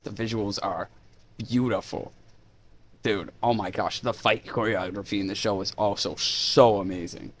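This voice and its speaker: Low-voiced man, casual rambling reviewer. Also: fairly even intonation, normal speed, background noise. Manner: informal, enthusiastic, rambling. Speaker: YouTube reviewer, host. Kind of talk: casual unscripted review of indie animation.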